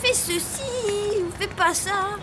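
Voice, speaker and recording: A woman's voice: very high-pitched, slow, very noisy. A woman voicing an overwhelmed, plaintive young cartoon girl. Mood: distressed. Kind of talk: animated cartoon dub acting. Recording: loud music behind.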